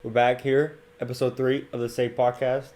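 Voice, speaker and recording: A young man hosts a casual chat. His voice is dark and relaxed, sitting at an ordinary middle pitch. He talks informally, as to a friend. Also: normal speed. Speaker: podcast host. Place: indoors, at a home.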